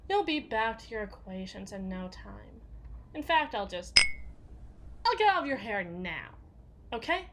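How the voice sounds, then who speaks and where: A woman's voice: fairly high pitch, very animated, measured pace, pauses often, very noisy. A woman playing a tough, foul-mouthed, guarded bodyguard for a villain in an ASMR roleplay, with a sardonic, slangy delivery. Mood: defensive, brittle. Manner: sardonic, swearing, casual slangy drawl. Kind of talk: ASMR roleplay, acted monologue.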